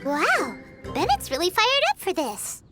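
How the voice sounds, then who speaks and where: A woman's voice: very high voice, wildly swooping pitch, measured pace, over loud music, full character voice. A woman voicing a tiny, childlike fairy companion in a video game, exclamatory and cheeky. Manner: exclamatory, cheeky, speaks of self in third person. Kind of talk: animated video game voice acting.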